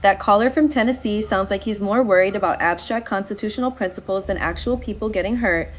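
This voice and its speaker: A woman's voice: medium pitch, fairly quick, slight background noise, natural voice. Woman acting a firm, impatient radio caller. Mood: firm, dismissive. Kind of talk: radio call-in drama acting.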